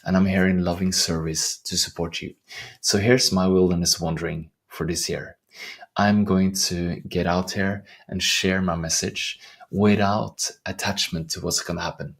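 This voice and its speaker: Low gravelly Norwegian-accented man. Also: steady tone. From Norway. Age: middle-aged. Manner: soft-spoken, earnest, unhurried with searching restarts. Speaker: life coach. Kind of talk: reflective vlog monologue. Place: outdoors in the wilderness.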